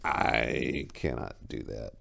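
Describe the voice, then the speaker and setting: A man voicing a distraught, remorseful supernatural being in a horror story reading, with halting, earnest, formal speech. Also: a bass voice, creaky, fairly bright tone, pitched below the performer's own voice, full character voice. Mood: solemn, chilling. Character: distraught male supernatural being, remorseful yet chillingly calm.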